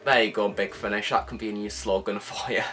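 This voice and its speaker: A man's voice: fairly low pitch, steady tone, brisk, sharp tone, faint music behind. Chatty, emphatic man reviewing. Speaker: review channel host. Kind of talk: enthusiastic scripted audio drama review with casual asides.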